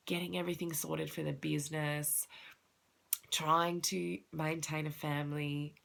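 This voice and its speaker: A woman's voice: low-pitched, vocal fry, fairly bright tone. A woman with a congested, sniffly voice, talking earnestly and heartfelt in a personal reflective talk to her viewers. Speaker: wellness vlogger.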